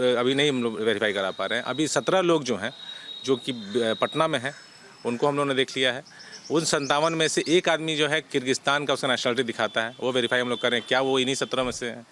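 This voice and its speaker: A man with an Indian accent speaking as a senior police officer in a press interview, official and explanatory with halting hesitations. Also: medium pitch, a bit bright, slight background noise. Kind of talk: press interview answers, mixing Hindi and English.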